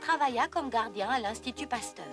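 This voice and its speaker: High-voiced woman narrating an educational cartoon. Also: lively intonation, fairly quick. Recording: music behind the voice.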